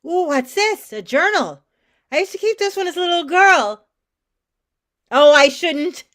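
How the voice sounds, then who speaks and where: A woman playing a mother who fusses brightly in a sing-song call and can turn tender, in a staged play reading. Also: extremely high pitch, lively intonation, measured pace, heavily acted. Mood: curious, guilty. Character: mother, brightly nagging, later tender and wistful.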